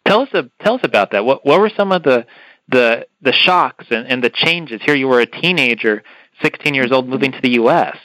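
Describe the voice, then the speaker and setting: A man's voice: mid-range voice, lively intonation, fairly quick, sharp tone, no echo, poor audio. A man hosting a podcast interview, enthusiastic and given to repeating words as he asks his questions. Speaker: podcast host.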